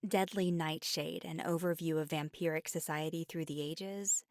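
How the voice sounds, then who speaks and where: A woman's voice: low voice, steady tone, normal speed, no room sound, clean studio sound. A young woman playing a friendly, easily flustered college bookshop clerk in a chatty ASMR roleplay. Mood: opinionated. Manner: chatty, stammering when flustered. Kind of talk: ASMR roleplay, scripted one-sided conversation.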